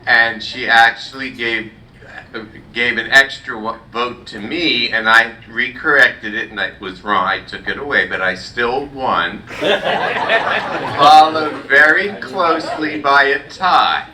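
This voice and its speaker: A man's voice: medium pitch, slow, pushed and bright, a little hiss or hum. A man at a club gala joking about winning a trivia quiz in a dry, self-deprecating way. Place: large banquet hall. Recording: echoey hall, far-off mic.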